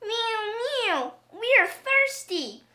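A woman's voice: very high-pitched, big pitch swings, drawn-out words, sharp tone, voice pushed up, full character voice. A woman voicing vain, whiny little cats in a storybook, mewing and insistent. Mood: plaintive.

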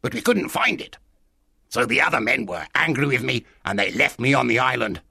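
A man voicing a half-crazy marooned old sailor in a storybook, with a strange, excitable, eager and wheedling way of talking. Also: medium pitch, creaky, breathy edge, no echo, studio quality, heavily acted. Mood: eager, pleading. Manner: strange-voiced, excitable, drawn-out emphatic phrases. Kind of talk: dramatised storybook reading.

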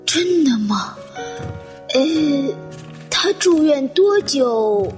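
Woman acting slow, high-voiced polite elderly widow. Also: expressive, a little mellow, heavily acted. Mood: courteous, inquiring. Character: polite elderly widow, modest, gracious hotel guest. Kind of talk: Chinese radio drama acting. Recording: long reverb, music underneath.

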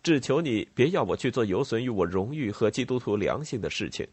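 Male reader as an honourable young nobleman, earnest, firm, pleading, husky, dry close sound, audiobook. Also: mid-range voice, very animated, a little fast, darkish tone, dry recording.

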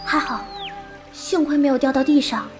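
Pouty, impulsive girl disciple actress, very high childlike register, wuxia audio drama with music underneath. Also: normal speed, close to an everyday voice. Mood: pleased. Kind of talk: Chinese wuxia radio drama acting.

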